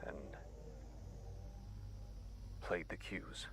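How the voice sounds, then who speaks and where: A man playing a grave researcher who recounts an experiment in a hushed, gravelly voice, as scripted horror narration. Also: very slow, very noisy.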